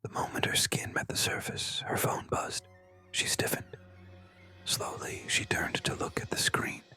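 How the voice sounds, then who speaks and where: Whispering horror narrator over music. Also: a little slow. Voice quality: breathy unvoiced whisper. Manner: hushed, dramatic delivery in short suspenseful phrases.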